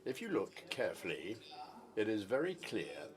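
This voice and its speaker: A man with a low voice renders a formal report in a measured, steady way. He often pauses on fillers as he keeps pace with the speaker. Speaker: interpreter. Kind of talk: simultaneous interpreting of a report.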